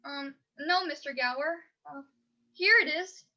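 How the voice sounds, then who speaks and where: A woman's voice: sits high, unhurried, pushed and bright, highs cut off, full character voice. A woman playing an earnest, frightened schoolboy in a radio play, pleading urgently. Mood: nervous. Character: earnest, frightened young schoolboy shop helper. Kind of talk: old-time radio play acting.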